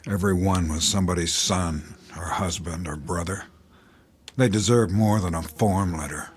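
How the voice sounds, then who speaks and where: An actor playing a dutiful, weary army captain in an audio drama, speaking in a sombre, halting way. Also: male voice, sits low, husky.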